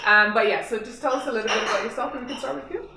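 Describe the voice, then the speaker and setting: A woman's voice: on the high side, very fast, sharp tone, recorded from a distance. A woman from Jamaica hosting a casual interview, teasing her guests and laughing often. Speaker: host. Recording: echoey room with crowd noise.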